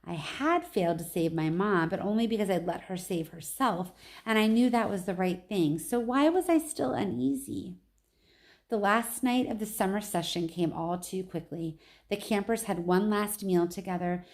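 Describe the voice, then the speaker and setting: A woman's voice: medium pitch, normal speed, a little mellow. A woman reading a children's novel aloud at home like a teacher, with informal asides to her listeners.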